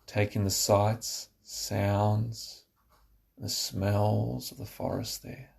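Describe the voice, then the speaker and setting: A man narrating a scripted guided relaxation, giving slow, instructive phrases with pauses. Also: lowish voice, flat delivery, gravelly, darkish tone.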